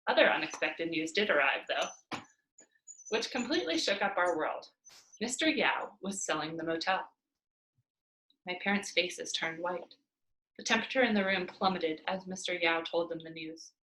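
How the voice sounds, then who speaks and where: Bright, animated woman reading a story aloud. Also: lowish voice, normal speed, pauses often, a little hiss or hum. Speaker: read-aloud narrator. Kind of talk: expressive children's novel read-aloud. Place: indoors at home by a fireplace. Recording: roomy home recording.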